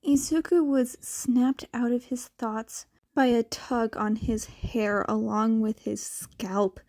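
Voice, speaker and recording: A woman's voice: on the high side, fairly even intonation, takes it slowly, a little mellow, no echo. A woman narrating fan fiction as a scripted story reading.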